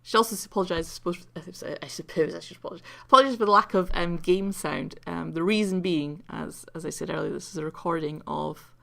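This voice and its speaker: A woman talks through a video game replay in a loose, rambling way, full of ums and jokes at her own expense. Her low voice is croaky from sleep, with a gravelly fry and a bright, sharp edge. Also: fast talker, hardly any pauses. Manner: rambling and self-mocking, with many ums. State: croaky from just waking up. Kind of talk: casual replay commentary.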